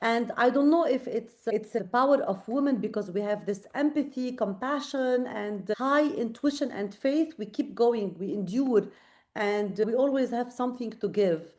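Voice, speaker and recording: A woman's voice: on the high side, normal speed, a little mellow. Tired, earnest woman with Lebanese accent. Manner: earnest, reflective, many fillers. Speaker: guest, creative director. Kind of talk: late-night video call interview.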